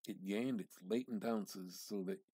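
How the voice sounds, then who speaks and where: A man reads literary fiction aloud as a dramatic practice piece. His voice is fairly low and quite husky, recorded very dry and close. He phrases deliberately and expressively, letting some words sink toward a hush. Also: no echo. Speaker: amateur reader practicing dramatic reading. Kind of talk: dramatic reading of a literary short story.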